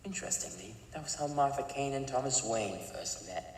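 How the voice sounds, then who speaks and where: A man playing a disfigured criminal chemist, speaking in a calm, detached, matter-of-fact way in an audio drama. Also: mid-range voice, a little fast, lightly acted. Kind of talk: fan audio drama acting. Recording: echoey, distant room with faint noise.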